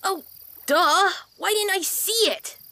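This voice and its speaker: Woman as a high, blunt little sprout boy. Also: slow pace, sharp tone, full character voice. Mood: cheeky. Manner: blunt, exasperated, mocking. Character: small newborn magical sprout boy, blunt and cheeky. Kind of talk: fantasy comedy audio drama acting.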